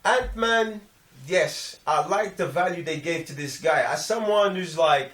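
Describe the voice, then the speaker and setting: Fairly high male voice, slangy, emphatic, casual film chat, distant from the mic. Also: unhurried, fairly bright tone. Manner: slangy, emphatic, acts out short quotes. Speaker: film review show co-host. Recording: echoey room, off the mic.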